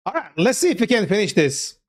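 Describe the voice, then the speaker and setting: A man with a Turkish accent, a native Turkish speaker, chats casually and informally on a livestream, thinking aloud as he codes. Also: high-pitched. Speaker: live streamer and programmer. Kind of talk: casual livestream chat while coding.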